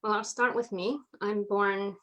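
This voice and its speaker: A woman's voice: mid-range voice, fairly even intonation, a little slow, bright, forceful tone. Woman, North American accent, mid-range voice, interview guest, conversational personal storytelling. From North America (New York, Atlanta, Montreal).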